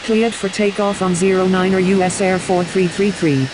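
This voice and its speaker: A woman's voice: mid-range voice, steady tone, normal speed, noisy background, natural voice. A woman playing a businesslike airline pilot in a flight game with clipped, scripted radio phraseology. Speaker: airline pilot on air traffic control radio.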